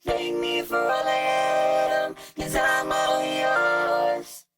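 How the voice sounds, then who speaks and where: A woman singing a pop vocal, processed with vocoder and reverb effects. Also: very slow. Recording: echoey processed vocal with loud music.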